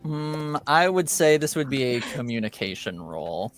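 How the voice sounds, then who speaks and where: A man narrating a tabletop roleplaying game as game master, in a hesitant, halting way. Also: medium pitch, big pitch swings. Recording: music behind the voice. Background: background music.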